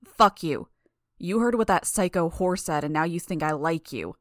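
A woman's voice: lowish voice, normal speed, no room sound, studio quality, close to an everyday voice. Woman playing a curt, hostile, foul-mouthed young loner. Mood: hostile, accusing. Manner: curt, combative, swearing. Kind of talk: dramatised audiobook dialogue.

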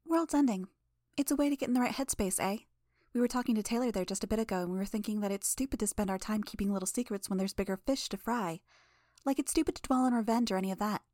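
A woman reading an audiobook, voicing a brash, flippant teenage girl who chatters quickly with teasing question tags. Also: mid-range voice, warm tone, no echo, studio quality, voice pushed up, lightly acted. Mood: breezy. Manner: chirpy, rapid, tags sentences with a teasing question.

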